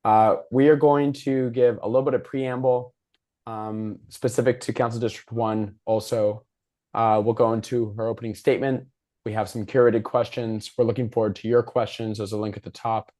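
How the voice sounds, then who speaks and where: A man with a worn, hoarse voice speaks at an average pitch. He moderates a public discussion over a video call, giving a prepared presentation in an informal way. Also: level tone, moderate pace, mellow. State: hoarse, losing his voice. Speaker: moderator and host of a civic discussion.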